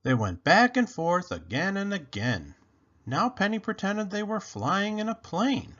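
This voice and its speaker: A man reading a children's story aloud in an expressive, animated, deliberate way. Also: high-pitched, highs cut off. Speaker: storybook read-aloud host.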